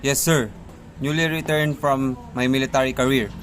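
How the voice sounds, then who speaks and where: A man's voice: mid-range voice, steady tone, moderate pace, natural voice. A man playing a proud, dutiful military knight in an amateur radio drama, reciting formally with small stumbles. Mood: proud, respectful. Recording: faint background noise, amateur recording.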